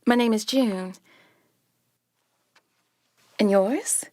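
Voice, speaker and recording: A woman playing a prim, sharp-tongued young woman in a radio play. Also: medium pitch, drawn-out words, dry recording, clean studio sound. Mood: polite. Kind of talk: stage-play dialogue for radio.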